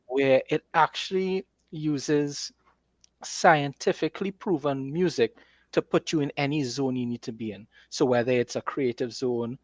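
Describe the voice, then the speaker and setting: A man's voice: mid-range voice, unhurried, no echo, highs cut off. A man hosting a casual conversation as an author, explaining things with enthusiasm. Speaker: host, author. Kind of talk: casual conversational talk about writing.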